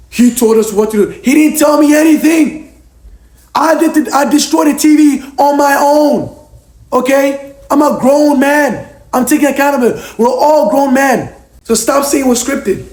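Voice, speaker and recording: Young male vlogger, hoarse and losing his voice, high-pitched, emphatic Q&A delivery, echoey room. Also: a little mellow. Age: young adult. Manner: emphatic, keeps tagging on "okay?". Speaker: former reality show contestant. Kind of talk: casual Q&A vlog. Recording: roomy echo.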